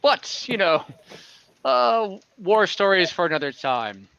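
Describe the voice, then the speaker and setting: A man's voice: high voice, very animated, slow, creaky, a bit bright, clean tone, no room sound, dull audio, pitched above the performer's own voice, exaggerated voice. A man voicing a hearty male adventurer who jovially needles his friend in a tabletop game. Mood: brushing off.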